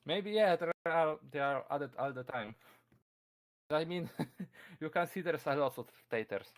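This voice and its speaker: Young polish guy talking into his desk mic, kinda high voice, lots of uh and little laughs, keyboard clacking behind. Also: lively intonation, unhurried. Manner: hesitant, many fillers and restarts, chuckles often. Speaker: convention presenter. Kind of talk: casual live-streamed talk answering chat questions.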